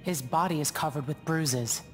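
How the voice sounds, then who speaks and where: A woman's voice: low-pitched, moderate pace, faint music behind, natural voice. An actress playing a guarded, secretive woman ship captain in a video game, talking in terse, matter-of-fact phrases. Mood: guarded, businesslike.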